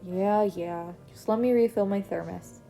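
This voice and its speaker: Dry tired teacher guy, woman reads him, blunt, mellow voice, no real deepening. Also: measured pace, vocal fry, faint music behind. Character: tired, gruff man teacher fond of coffee.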